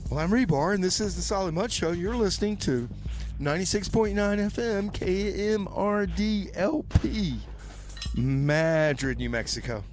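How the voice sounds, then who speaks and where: A man's voice: sits high, lively intonation, moderate pace. A man hosting community radio, moving between music announcements and comedy sketch dialogue. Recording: clear noise behind the voice. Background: background noise.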